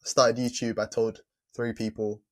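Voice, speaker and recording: A man hosting a casual podcast interview, relaxed, laughing often and repeating words as he thinks. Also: mid-range voice, a little slow. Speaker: podcast host.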